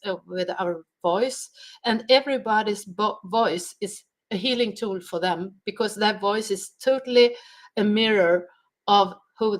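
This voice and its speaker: A woman guest explaining sound healing in a slow, halting way, searching for her words. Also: mid-range voice. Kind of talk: conversational interview answers.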